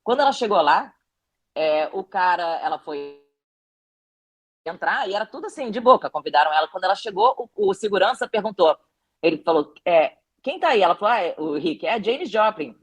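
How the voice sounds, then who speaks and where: A woman's voice: fairly low pitch, animated, fairly quick, breathy edge, poor audio. A woman with a Brazilian Portuguese accent, a documentary director answering interview questions reflectively, telling anecdotes with frequent restarts.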